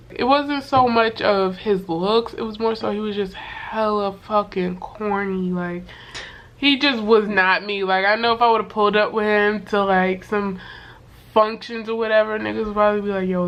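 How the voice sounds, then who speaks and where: A young woman with a voice worn hoarse from sickness, telling a story to camera in a loose, slangy way full of fillers and swearing. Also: fairly high pitch, fairly bright tone. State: hoarse from being sick. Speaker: vlogger. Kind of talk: casual storytime chat to camera.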